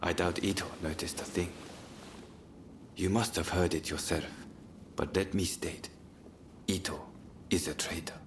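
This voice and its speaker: A man's voice: low-pitched, steady tone, measured pace, pauses often, noisy background. A man playing a stern, watchful samurai captain in a game, terse and controlled in his speech. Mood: quiet, serious. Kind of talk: English game voice acting, cutscene dialogue.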